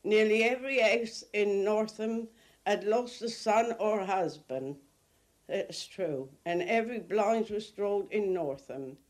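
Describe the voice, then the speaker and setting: A mourning townsman speaks in a high voice. He is slow and plain, giving deliberate testimony from a working port district in a radio drama. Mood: mournful.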